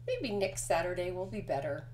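A woman voicing an earnest, polite but easily exasperated young male rabbit in an expressive picture-book read-aloud. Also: lowish voice, normal speed, a little hiss or hum.

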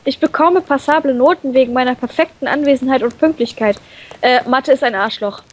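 A woman reading a visual novel aloud in an animated, playful way, breaking off into her own comments. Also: sits high, a little fast. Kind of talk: expressive let's play read-aloud.

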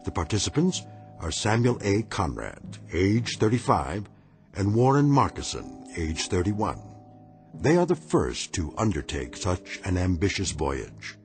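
A man giving measured, ominous, scripted narration for a radio drama. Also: low-pitched, sing-song, soft background music.

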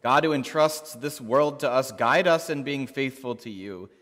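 A man leading a congregation through the creed and prayers with measured, liturgical recitation. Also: medium pitch, fairly bright tone. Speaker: worship leader. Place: large church sanctuary. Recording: long church reverb.

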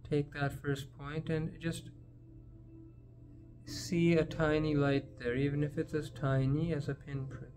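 A man leading a guided meditation in a gentle, unhurried manner, leaving long pauses between phrases. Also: fairly high pitch, level tone, faint music behind.

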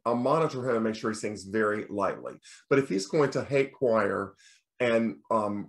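A middle-aged American man with a bass voice, a choir teacher giving a recorded lecture in a relaxed, explaining way. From United States. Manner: relaxed explaining, breaks into sung demonstrations. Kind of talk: recorded lecture over slides.

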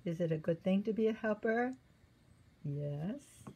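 A woman in her sixties reading a children's devotional story aloud in a gentle, teacherly way, pausing to put simple questions to young listeners. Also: mid-range voice, expressive, husky. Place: indoor room at a table.

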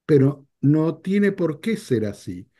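An older man hosting a talk show over a video call, speaking in a measured, reflective way. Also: fairly high pitch, big pitch swings, dark tone. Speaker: radio show host. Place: home office.

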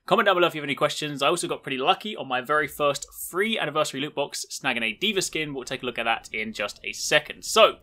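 A man hosts a gaming video in a casual, enthusiastic way. His voice is bright and forceful. He talks rapidly as he walks viewers through what is on show. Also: a little high, animated. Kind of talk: upbeat video walkthrough commentary.

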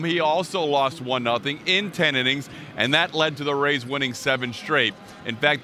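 A man's voice: mid-range voice, pushed and bright, slight background noise. A middle-aged man presenting a baseball pregame show on television as its host. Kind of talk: sports broadcast presenting. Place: television sports broadcast at a baseball stadium. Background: music.

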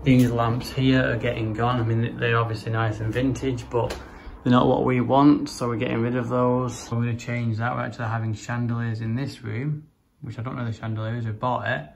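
Guy in his 30s doing a house tour vlog, flat delivery, rambly, bit of background noise. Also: mid-range voice, brisk, vocal fry. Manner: rambling, matter-of-fact, informal. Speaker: home renovation vlogger. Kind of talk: casual walk-through vlog narration. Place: rooms of a house, indoors. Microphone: handheld camera or phone at arm's length. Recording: noticeable background noise, handheld camera indoors.

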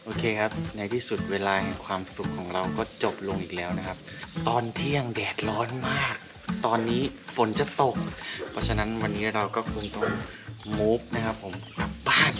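Excitable young man fishing from a kayak. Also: on the low side, normal speed, distant mic. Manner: exclaims loudly at each catch, calmer when explaining. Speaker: fishing vlogger. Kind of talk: excited casual commentary while fishing. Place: kayak on a canal outdoors. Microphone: action camera on the boat. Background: music.

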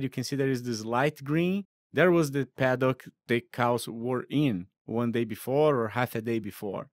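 Brazilian guy in his 30s explaining stuff like a professor, mid pitch, dead dry close mic. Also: dry recording. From southern Brazil. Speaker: veterinary professor. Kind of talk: recorded lecture narration.

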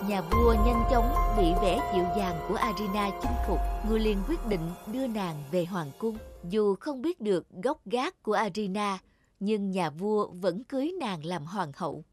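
A woman's voice: medium pitch, animated, normal speed. A woman reading a scripted fairy tale as the storyteller. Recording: music bed behind the voice.

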